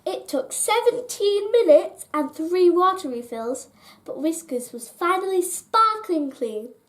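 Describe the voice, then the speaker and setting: Female narrator for children's bedtime tales: high register, very measured pace, expressive and deliberate. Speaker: storyteller reading aloud.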